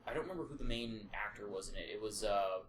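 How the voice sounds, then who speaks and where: A man's voice: on the low side, quick pace. A man co-hosting a podcast, chatting casually and swearing freely. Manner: casual, profane. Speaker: podcast co-host. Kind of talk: casual chat about video game movies. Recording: echoey room, background noise.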